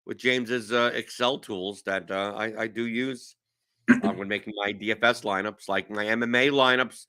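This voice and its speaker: A man's voice: on the low side, steady tone, moderate pace, sharp tone, clean tone. A man in his forties from New York, hosting a casual show in a rambling, self-mocking way with lots of restarts. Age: 40s. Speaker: show host. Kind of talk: casual chat.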